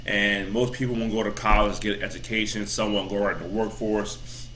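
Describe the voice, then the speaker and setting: A man in his thirties talks casually to his viewers, rambling and circling back on his points with plenty of fillers. His voice has a bright, forward edge, with a faint hum of room ambience underneath. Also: fairly low pitch, flat delivery, fairly quick. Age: 30s. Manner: rambling, repeats himself, many "you know" fillers. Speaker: vlogger. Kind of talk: casual motivational talk to camera.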